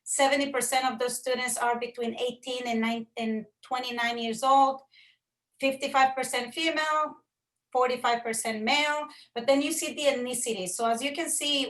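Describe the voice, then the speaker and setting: A woman with a Costa Rican accent speaks in a fairly high voice. She is a professor presenting to colleagues, earnest and emphatic, and she repeats words to stress them. Her first language is Spanish. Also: a bit bright, poor audio. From Costa Rica. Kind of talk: presentation to a faculty audience.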